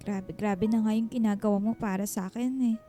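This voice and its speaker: A woman's voice: medium pitch, mellow, close to an everyday voice. An actress playing a grateful, hesitant single mother in a Filipino radio drama, pleading and emotional.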